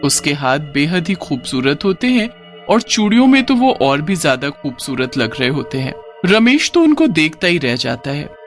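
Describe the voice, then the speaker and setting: A man's voice: a little high, sing-song, normal speed, soft background music. A man narrating a scripted, dramatic story as a storyteller.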